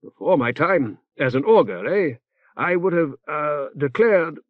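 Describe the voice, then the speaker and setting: A fussy elderly cleric rambles in clipped, halting phrases, forever stopping on "eh" and "uh", voiced by a male narrator. His voice sits well above the reader's own. The recording is dry and slightly muffled. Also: on the high side, expressive, no room sound, voice pushed up. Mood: grave.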